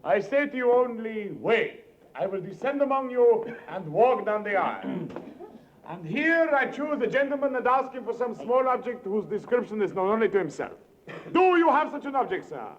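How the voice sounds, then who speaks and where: High-pitched theatrical stage mentalist, commanding. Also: male voice, sing-song, normal speed. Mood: grandiose. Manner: grandiose, declamatory showman delivery. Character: theatrical, commanding male stage mentalist presenting his act. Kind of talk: 1940s radio acting. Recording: muffled 1940s radio broadcast, audience sounds.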